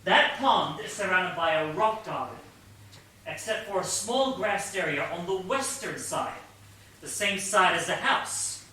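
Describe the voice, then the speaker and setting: An actor playing an earnest, pedantic detective sidekick in a comic stage play, explaining things with precise, patient logic. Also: male voice, sits high, expressive, unhurried, sharp tone, heavily acted. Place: theatre stage, mic far off. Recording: distant, echoey theatre stage recording, faint hiss.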